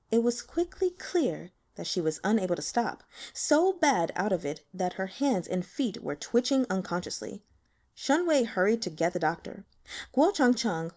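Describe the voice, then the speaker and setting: Female audiobook narrator, mid-range voice, scripted fiction read with expressive, dramatic phrasing. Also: mid-range voice. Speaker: audiobook reader.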